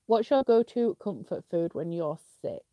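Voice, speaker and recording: A woman's voice: fairly low pitch, lively intonation, moderate pace, no echo, highs cut off. A young woman with a British accent, sniffly with a slight cough, chatting casually as she answers viewer questions in a live stream, with lots of "like" fillers. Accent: British English.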